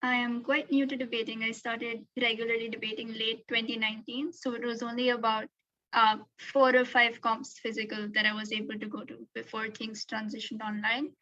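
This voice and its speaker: A woman's voice: fairly high pitch, level tone, fairly quick, sharp tone, no room sound, highs cut off. A woman introducing herself on a panel, modest and self-deprecating. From India. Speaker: debate panelist.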